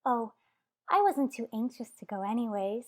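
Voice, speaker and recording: A high-pitched, soft-mannered wife from an old-time radio soap, acted by a woman. She is affectionate and hesitant, feeling her way through each sentence. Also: animated. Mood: gentle, hesitant. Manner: tentative, halting, affectionate. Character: gentle, hesitant wife longing to adopt an older boy.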